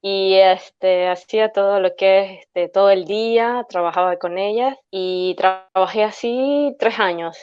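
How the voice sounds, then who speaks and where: A woman's voice: mid-range voice, a little slow, hardly any pauses, a little mellow, clean tone, no echo, muffled recording. A woman in her thirties with an Ecuadorian Spanish accent, a guest in an interview who speaks hesitantly and uses many fillers. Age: 30s.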